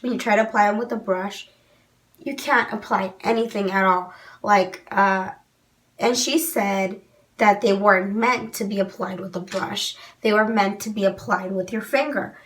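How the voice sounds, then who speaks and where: A woman's voice: mid-range voice, a bit bright, far from the mic. Young woman beauty vlogger with a cold and a weak, fading voice; chatty and frank, a little away from the mic. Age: young adult. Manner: chatty, many "um"s, frank opinions. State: losing her voice from a cold. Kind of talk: casual product review to camera. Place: small indoor room.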